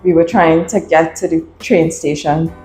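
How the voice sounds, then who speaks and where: A Trinidadian woman in her thirties, a guest telling a story in a casual interview, animated and laughing often. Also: low voice, moderate pace, echoey room, faint music behind. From Trinidad and Tobago.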